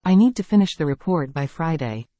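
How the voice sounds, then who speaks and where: Mellow voice reading English examples. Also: medium pitch, expressive, no echo. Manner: clear, measured reading of short sentences. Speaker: English teacher. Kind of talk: reading example sentences aloud.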